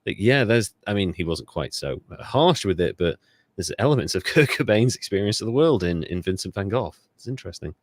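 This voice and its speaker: Low-pitched man with animated delivery. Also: a little fast, no echo. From Wales. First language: Welsh. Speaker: podcast co-host. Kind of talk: casual comedic chat.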